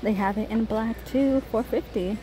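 A woman's voice: a little high, moderate pace, warm tone, low-quality recording. An American woman giving a casual, chatty shopping walk-through as a vlogger, browsing inside a large store with a handheld camera. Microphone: handheld phone or camera held by the speaker. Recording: clear ambient store noise. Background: store ambience.

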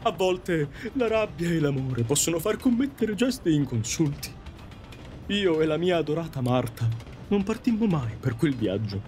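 A man's voice: a little high, big pitch swings, normal speed, a little mellow, faint music behind. An actor playing a courtly, theatrical ghost who tells his story aboard an afterlife train in an audio drama. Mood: wistful.

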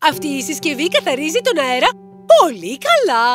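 A woman's voice: high-pitched, huge pitch swings, a bit bright, exaggerated voice. A woman voicing a scheming, coaxing older woman scientist in a cartoon fairy tale. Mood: reassuring. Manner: calculating, coaxing. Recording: music under the voice.

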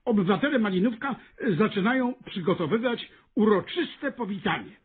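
An actor in a radio play voicing a nervous, earnest stationmaster with lofty ambitions, stammering and husky. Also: male voice, sits high, lively intonation, moderate pace. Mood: eager, nervous. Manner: stammering, hesitant, eager, solemn. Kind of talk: Polish radio drama acting. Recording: muffled, band-limited radio recording.

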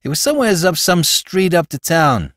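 A man voicing an eager, dim small-time crook in an audiobook, with a New York accent and slangy, ungrammatical, excitable talk. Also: a little high, moderate pace, no echo, clean studio sound, voice pushed up.

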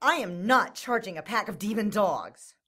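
A woman's voice: mid-range voice, lively intonation, moderate pace, sharp tone. A woman acting a fearful, demanding injured character in an audio drama. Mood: alarmed, exasperated.

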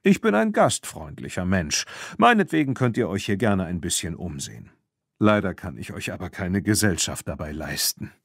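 A man voicing a calm, outwardly hospitable man who speaks with unhurried, sly courtesy in an audiobook. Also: low voice, vocal fry, husky, no room sound, clean studio sound, full character voice. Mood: calm, smooth.

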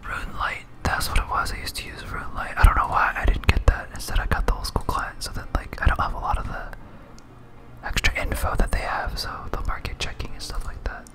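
A person whispering casual, rambling ASMR commentary while playing a game, thinking aloud. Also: fairly quick. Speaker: host of a gaming series.

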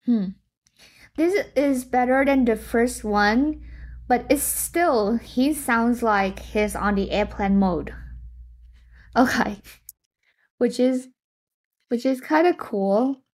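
Unhurried young woman demoing code. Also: on the high side, darkish tone. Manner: thinking aloud, reacting to results. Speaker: tech tutorial host. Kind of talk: casual screen-share tutorial. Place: indoor room.

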